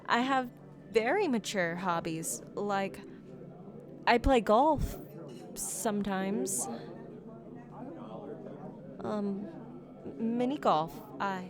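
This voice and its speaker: Woman playing a slow-talking, shy geeky fox. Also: a little high. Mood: nervous, awkward. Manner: awkward, flustered, hesitant, politely formal. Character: shy geeky silver fox on blind date, feigning maturity. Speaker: ASMR roleplay voice performer. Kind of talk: ASMR roleplay, one-sided conversational acting.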